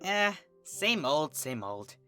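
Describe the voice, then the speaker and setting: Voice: medium pitch, sing-song, slow pace, sharp tone, clear, resonant tone, faint music behind, full character voice. A narrator voicing a brash, peppy young female pegasus pony with a casual, slangy way of talking, in a cartoon fan story. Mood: breezy. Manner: casual, slangy, hesitant about feelings.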